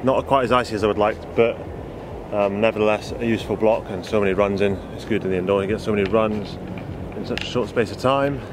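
A man's voice: on the low side, fairly even intonation, quick pace, background noise. A young man vlogging casually about his ski training, chatty and dry, filmed on a handheld camera at arm's length. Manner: chatty, dry and self-deprecating. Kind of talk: casual vlog. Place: indoor ski hall and outdoors by a van and beach. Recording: music playing underneath.

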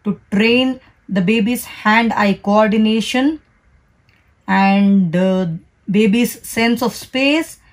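A woman, slowly and carefully narrating a step-by-step toy unboxing and demonstration. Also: mid-range voice, low-quality recording. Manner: slow, careful, instructional. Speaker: toy reviewer. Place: indoors, at a table. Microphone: off-camera voice, hands only in frame.

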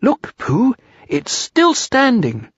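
A man voicing a tiny, timid, eager piglet in a squeaky voice for a storybook. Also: wildly swooping pitch, slow pace, slightly rough, no echo, lo-fi sound, heavily acted. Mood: cheerful.

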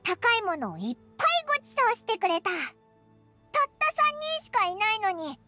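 A woman voicing a small, cheeky, gluttonous floating companion in an anime game, with a squeaky childlike voice and rough boyish phrasing. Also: big pitch swings, moderate pace, sharp tone, exaggerated voice. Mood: confident, teasing. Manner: rough boyish speech, exclamatory. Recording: music behind the voice.

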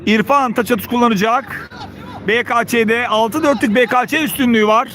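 High-pitched man calling an amateur football match. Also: moderate pace, fairly bright tone, a faint crowd. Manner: clipped phrases, calling out player names. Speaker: match commentator. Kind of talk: live amateur football play-by-play commentary.

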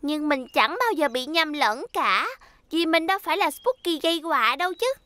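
Cocky little cartoon mail car: very high, bright, childlike, gossipy dub over light music. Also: female voice, expressive, moderate pace, dry recording. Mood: smug. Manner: teasing, childlike. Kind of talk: dubbed children's cartoon acting.

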